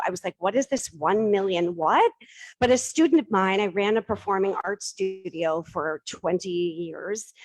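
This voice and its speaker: A middle-aged woman presents to a room full of people in a chatty, self-deprecating way, turning to the listeners with questions. Her voice sits at an ordinary pitch, and the sound is dry and close with almost no room echo. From Boston area. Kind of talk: casual public talk to a live audience.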